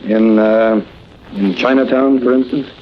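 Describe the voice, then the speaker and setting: An actor playing an earnest young police investigator in a 1940s radio drama, clipped and purposeful. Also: male voice, lowish voice, steady tone, measured pace, natural voice. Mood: probing. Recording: muffled old radio recording, faint noise.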